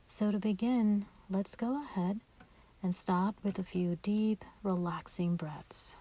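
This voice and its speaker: A woman leading a guided meditation in a soft, breathy voice, gentle and soothing, with unhurried pauses. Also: mid-range voice.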